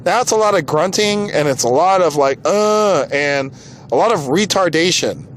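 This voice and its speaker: An American man with a fairly high voice speaks as a podcast host. He gives his opinions casually, sounding exasperated and emphatic. There is some light noise behind him. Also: expressive, normal speed. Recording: clearly noisy background.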